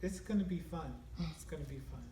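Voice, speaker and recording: A man giving casual interview answers in a halting, measured way as a member of a theatre production. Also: fairly high pitch, dark tone. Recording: echoey, distant mic, faint room noise.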